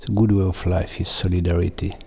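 Slow, thoughtful scientist. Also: male voice, low-pitched, little pitch movement, darkish tone, breathy edge. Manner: slow, thoughtful, searching for words. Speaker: scientist interviewee. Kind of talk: interview answers.

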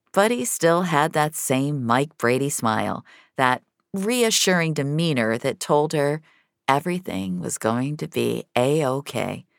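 A woman's voice: low-pitched, no room sound, clean studio sound. A woman narrating scripted audio fiction as a storyteller.

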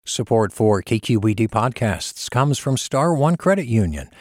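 A male announcer reads scripted sponsorship copy. His pitch is average and the sound is close and dry, with no room echo. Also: very animated, moderate pace. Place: dry studio.

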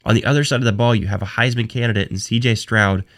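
A young man hosting a solo sports talk podcast, hoarse from a cold and losing his voice, talking in halting bursts with frequent restarts and repeated words. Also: mid-range voice, fairly even intonation. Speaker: podcast host.

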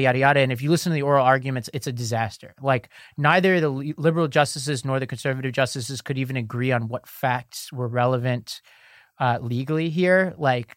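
A man's voice: mid-range voice, fairly bright tone, no echo. Man explaining legal cases in a dry booth. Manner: explanatory, filler-heavy, restarts. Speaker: podcast co-host. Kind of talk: casual legal news discussion.

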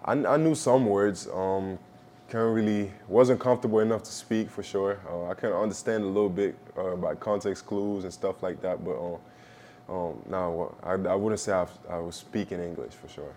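Young guy from belgium, french his first language, deep voice, slow halting answers, lots of uhs. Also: a little hiss or hum. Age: young adult. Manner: relaxed, halting, with many "uh" pauses. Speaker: basketball prospect, interviewee. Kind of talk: casual interview answers.

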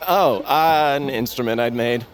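A man's voice: medium pitch, measured pace, soft background music, natural voice. An actor playing an earnest, theatrical young tribesman on a quest in an audio drama. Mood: earnest, exasperated.

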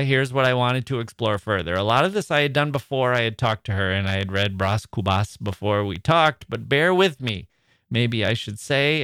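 A man's voice: on the low side, vocal fry, a bit bright, no echo, clean studio sound. A man hosting a literature podcast, talking listeners through his notes in a chatty, wry, self-mocking way with asides. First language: English. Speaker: podcast host. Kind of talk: scripted podcast narration.

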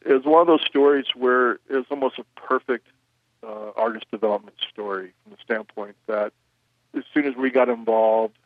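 A man who runs a record label, answering interview questions in a measured way, with frequent "you know" fillers. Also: mid-range voice, fairly even intonation, dry recording, narrow, tinny audio.

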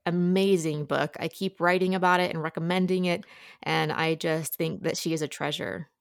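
A woman's voice: fairly low pitch, fairly even intonation, moderate pace, gravelly, no echo, clean studio sound. A woman giving a conversational book review as a podcast guest.

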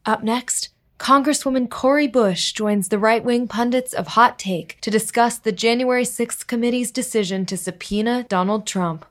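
A woman's voice: fairly high pitch, moderate pace, hardly any pauses. A woman announcer reading scripted promo narration for a show.

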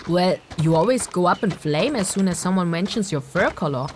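A woman playing a cheeky, outspoken traveling companion in an audio drama, flippant and expressive. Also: lowish voice, fairly quick, slight background noise, close to an everyday voice. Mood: candid, probing.

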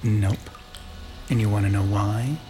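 A man's voice: fairly low pitch, fairly even intonation, unhurried, lightly acted. An actor playing a middle-aged, folksy father in an audio horror drama, talking colloquially like a storyteller. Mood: playful, teasing. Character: folksy joshing father, growing drowsy and frightened. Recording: music and splashing water behind. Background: music, bathwater.

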